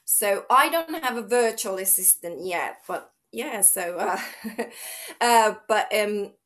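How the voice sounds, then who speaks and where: A woman's voice: medium pitch, lively intonation, takes it slowly, a bit bright. A middle-aged woman from Finland, a fitness trainer speaking as an interview guest, outgoing and chatty with frequent restarts. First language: Finnish. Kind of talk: casual interview chat.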